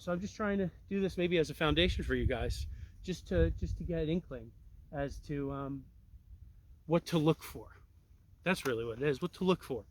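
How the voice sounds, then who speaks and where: A young man with a slightly raspy, congested voice, casually explaining and demonstrating outdoors on a sandy riverbank, rambling with lots of fillers. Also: fairly high pitch. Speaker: tracking instructor.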